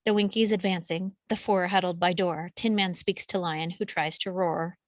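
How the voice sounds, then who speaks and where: A woman's voice: on the low side, fairly even intonation, moderate pace. A woman reading out screenplay action descriptions as scripted narration. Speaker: stage directions reader.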